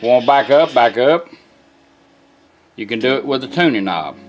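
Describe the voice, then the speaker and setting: A man's voice: medium pitch, lively intonation, a little slow, highs cut off, faint music behind. A man giving a casual, plainspoken hands-on product demonstration in a folksy way, taking it step by step. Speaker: presenter demonstrating a vintage truck radio. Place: inside a pickup truck cab.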